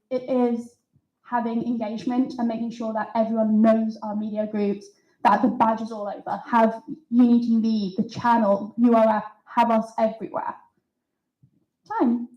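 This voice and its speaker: A woman's voice: a little high, flat delivery, moderate pace, warm tone, echoey room, dull audio. A young woman student standing for election, answering questions in an earnest, organised way and taking her points one by one. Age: young adult. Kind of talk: timed candidate answer at a hustings. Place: students' union event room.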